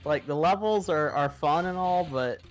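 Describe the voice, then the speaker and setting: A man's voice: a little high, moderate pace, soft background music. A man chatting casually during a live gaming run, stammering on restarts and laughing easily. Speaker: speedrunner.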